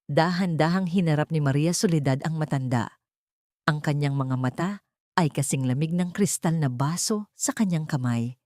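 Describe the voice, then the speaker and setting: A woman's voice: low-pitched, normal speed, a little mellow, no room sound, clean studio sound. A woman reading a scripted dramatic story as its narrator.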